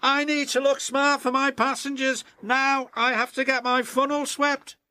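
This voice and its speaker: A man's voice: very high voice, little pitch movement, a little slow, bright, forceful tone, no echo, pitched above the performer's own voice, heavily acted. A man voicing a vain, proud young male steam engine with a haughty, self-important manner in a storybook reading.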